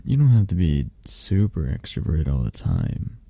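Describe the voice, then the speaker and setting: Very deep, mellow, tender dragon boyfriend played by a man. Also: huge pitch swings, creaky, breathy edge, dry recording, heavily acted. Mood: gentle, reassuring. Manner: soft, teasing, reassuring, hesitant pauses. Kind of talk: intimate ASMR roleplay. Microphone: close, dry microphone.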